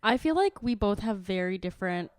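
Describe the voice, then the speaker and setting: A woman's voice: medium pitch, expressive, a little fast, clear, resonant tone, no room sound. Giggly young woman host, dry sound. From Texas. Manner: playful, giggly, supportive interjections. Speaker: podcast host. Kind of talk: casual chat.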